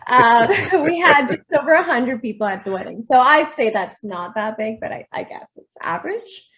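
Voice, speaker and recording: A woman's voice: on the high side, lively intonation, moderate pace, a bit bright. Playful woman interview guest. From Canada, raised in BC and Ontario. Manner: playful, joking, laughs often. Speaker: guest, business founder. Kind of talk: casual interview.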